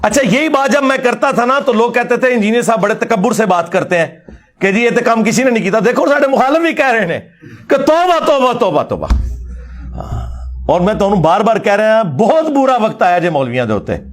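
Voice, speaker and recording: A man's voice: very high voice, very animated, brisk. A middle-aged man with a Pakistani Punjabi accent giving an informal, polemical religious lecture in a combative, mocking, emphatic way.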